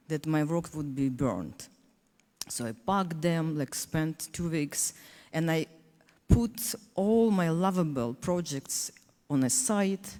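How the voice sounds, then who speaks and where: A woman from Donetsk with a Ukrainian accent, a native Russian speaker, speaking as a panelist in earnest, halting English full of restarts. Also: low-pitched, a little mellow, big-room sound. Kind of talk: panel discussion speech.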